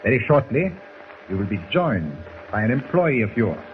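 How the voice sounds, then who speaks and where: An actor playing a cold, commanding German villain doctor in a 1940s radio drama, speaking formally and with menace. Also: male voice, mid-range voice, big pitch swings, normal speed, close to an everyday voice. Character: menacing foreign villain doctor holding captives at gunpoint. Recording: tinny noisy 1940s radio recording.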